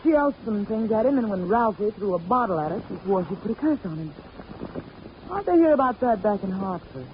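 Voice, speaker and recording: An actress playing a brassy gold-digger wife with sharp, sarcastic tough talk in 1940s radio style. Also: female voice, medium pitch, sing-song, a little fast, lightly acted. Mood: bitter, flippant. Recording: dull, noisy 1940s radio recording.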